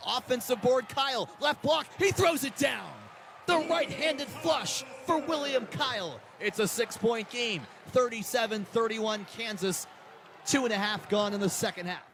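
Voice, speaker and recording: A man's voice: very high-pitched, fairly bright tone. A man doing live radio basketball play-by-play in clipped, vivid calls. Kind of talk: live sports commentary. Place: basketball arena during live games. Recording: clear arena noise, bouncing basketball.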